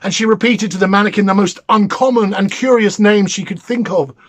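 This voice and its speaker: A man reading a fairy tale as a storyteller, with theatrical, deliberate phrasing. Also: sits high, dry recording.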